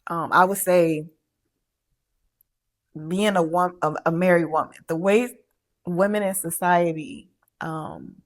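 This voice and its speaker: Low-pitched woman, unhurried, halting delivery full of "um"s; casual podcast co-host, middle-aged. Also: fairly even intonation. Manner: halting, many fillers and restarts. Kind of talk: casual chat.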